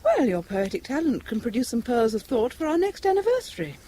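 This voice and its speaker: An actress playing the affectionate, playful wife of a spy in an audio drama thriller. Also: female voice, on the high side, big pitch swings, brisk, mellow, a little hiss or hum. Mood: teasing, fond.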